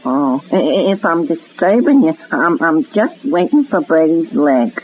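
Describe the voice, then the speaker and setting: A man's voice: fairly high pitch, very animated, a little slow. An actor playing an awkward, anxious, overly polite young man in an old-time radio soap, hesitant and formal. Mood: anxious, earnest. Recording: lo-fi old radio recording with hiss.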